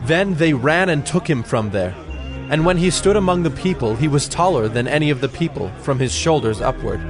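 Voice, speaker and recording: A man narrating scripture from a script as a solemn, measured storyteller. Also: fairly high pitch, animated, over music.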